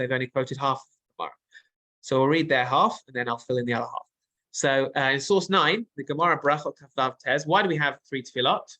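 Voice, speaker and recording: A man's voice: fairly high pitch, fairly bright tone, rough recording. A man teaching a text-based Torah class. He reads Hebrew passages aloud, translates them, and explains them as he thinks aloud. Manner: thinking aloud, with frequent fillers and restarts.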